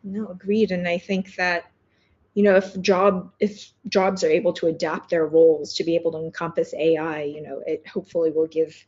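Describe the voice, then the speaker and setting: A woman's voice: lowish voice, flat delivery, normal speed, darkish tone, muffled recording. A woman hosting a conversational news interview, summarising findings and putting questions to her guest. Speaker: interviewer, host.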